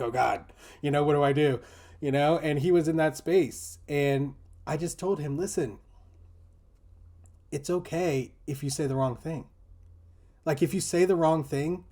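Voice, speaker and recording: A man's voice: mid-range voice, darkish tone. A man talking in a casual, reflective discussion, explaining earnestly and often dropping in "you know" and "like". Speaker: teacher in a discussion group.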